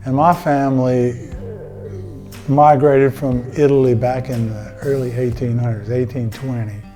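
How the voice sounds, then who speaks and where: A man's voice: mid-range voice, flat delivery, a little slow, warm tone, soft background music. A man from Louisiana giving a casual interview as a local property owner, reminiscing. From Louisiana, United States. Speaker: inn owner being interviewed.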